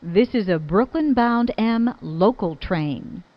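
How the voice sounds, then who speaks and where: A woman's voice: medium pitch, lively intonation, slow, no echo, lo-fi sound. A woman reading scripted subway announcements in formal, clipped phrases that sound pieced together.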